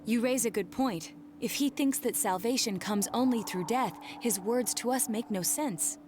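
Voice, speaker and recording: An actress playing a young detective in a video game, with precise, formal, analytical phrasing. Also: female voice, fairly high pitch, moderate pace, a little mellow, slight background noise, natural voice. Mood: reasoning calmly. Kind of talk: English video game dub acting. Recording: game music behind the voice.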